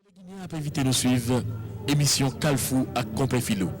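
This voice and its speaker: A man's voice: mid-range voice, brisk. A man announcing a station promo for a show. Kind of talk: broadcast promo.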